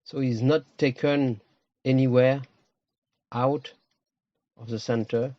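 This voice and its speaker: Low-voiced man guiding a meditation. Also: fairly even intonation, no room sound, highs cut off. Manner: slow, pausing, short phrases. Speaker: meditation teacher. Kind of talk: guided meditation.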